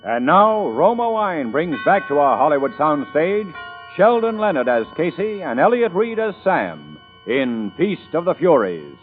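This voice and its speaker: A man's voice: a little high, big pitch swings, unhurried. An American man announcing a radio drama in a dramatic 1940s broadcast style. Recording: tinny vintage radio, faint music.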